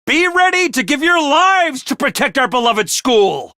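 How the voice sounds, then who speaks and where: A man voicing a peppy, bossy little mascot commanding officer in a video game, with a high cartoonish voice and theatrical, jaunty drill-sergeant delivery. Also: sing-song, a little slow, pushed and bright, no echo, clean studio sound, full character voice. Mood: gleeful, rousing. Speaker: voice actor for a video game character. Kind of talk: animated video game voice acting.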